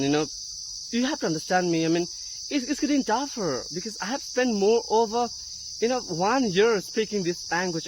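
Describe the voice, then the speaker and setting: Woman with an Indian-American mixed accent. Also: mid-range voice. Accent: Indian English mixed with American. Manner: earnest, explaining, with halting restarts. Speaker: English teacher. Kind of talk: casual talk to camera. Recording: loud insect and cricket noise behind. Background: loud crickets and insects.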